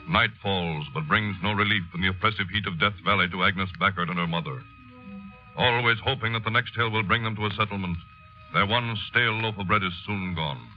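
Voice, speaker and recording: Portentous 1930s radio announcer, male, muffled. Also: fairly low pitch. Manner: solemn, portentous, emphatic. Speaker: announcer and narrator. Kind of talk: 1930s newsreel-style dramatic narration.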